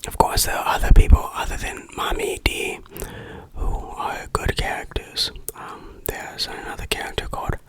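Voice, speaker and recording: A person speaking in a breathy whisper, giving a playful, tongue-in-cheek ASMR game review. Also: normal speed, fairly bright tone.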